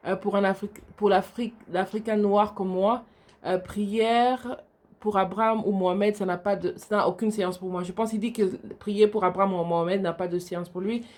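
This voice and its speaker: A woman moderating a religious live stream, asking questions and reading viewer comments in a plain, measured way. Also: mid-range voice, steady tone, darkish tone.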